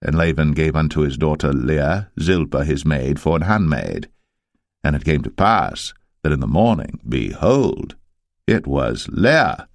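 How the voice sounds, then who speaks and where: A male narrator reads scripture in a low voice. His delivery is solemn and measured, like scripted storytelling, and the recording is close and dry. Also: very animated, gravelly, dry recording.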